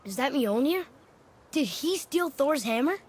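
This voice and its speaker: A man's voice: extremely high pitch, lively intonation, a little slow. A young male actor playing a curious, blunt young boy in a video game, asking questions in a matter-of-fact way. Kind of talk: video game character dialogue.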